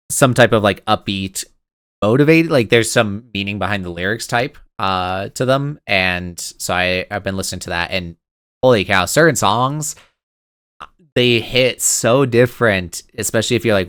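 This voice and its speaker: Young male co-host, excitable and rambling, lower voice, smooth tone. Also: dry recording, clean studio sound. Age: 20s. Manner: excitable, rambling, quick to laugh. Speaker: podcast co-host. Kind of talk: casual chat.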